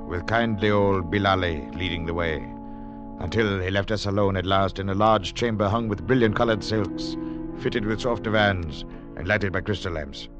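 An actor playing a grave, level-headed explorer in a 1940s radio drama, speaking with deliberate suspense. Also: male voice, sits low, close to an everyday voice. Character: grave, level-headed male explorer telling his adventure. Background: dramatic music.

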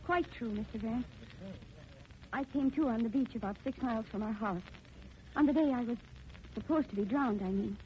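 On a muffled, faintly noisy 1940s radio recording, an actress plays a brash young woman. Her voice sits fairly high, and she talks in period slang. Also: normal speed, long pauses, lightly acted. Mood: earnest, subdued. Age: young adult. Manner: slangy, cocky. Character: brash, defiant young woman claiming an inheritance. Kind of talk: 1940s radio drama acting.

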